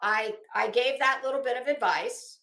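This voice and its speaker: A woman host reading aloud and adding sarcastic, emphatic, halting commentary as she goes. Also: on the high side, a bit bright. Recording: echoey room sound.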